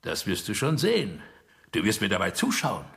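A bossy, boastful Soviet dictator, swooping voice. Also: male voice, on the low side, huge pitch swings, normal speed, creaky, full character voice. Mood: boastful, coaxing. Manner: commanding, exclaiming, condescending. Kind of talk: radio drama acting.

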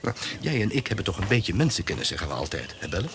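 An actor playing a distrustful, grumbling gentleman of a country house in a Dutch radio play, speaking expressively. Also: lowish voice, sing-song, quick pace, creaky, full character voice. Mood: indignant. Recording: noisy radio play recording.

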